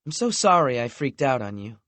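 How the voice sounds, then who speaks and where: A man's voice: sing-song, brisk, no echo. A man reading an audiobook, voicing a vulnerable, emotional woman who worries about losing the people she loves. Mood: tearful. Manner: wobbly, raised pitch, soft.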